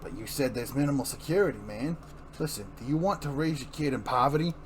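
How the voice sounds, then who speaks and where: A man's voice: medium pitch, fast, slight background noise, close to an everyday voice. Pushy, streetwise small-time crook voiced by a man. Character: casual, persuasive male crook recruiting an accomplice. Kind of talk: amateur dramatic comic book reading.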